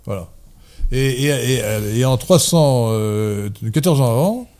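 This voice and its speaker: A man in a casual debate, erudite and digressive, often pausing on fillers and correcting himself. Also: medium pitch, expressive, warm tone. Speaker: debate guest.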